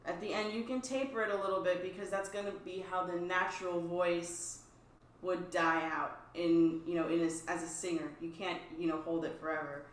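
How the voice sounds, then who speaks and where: A woman's voice: fairly low pitch, steady tone, brisk, heavy background noise. A woman co-hosting a casual chat, explaining string technique in an easygoing way. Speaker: co-host, string teacher. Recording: echoey room, distant mic.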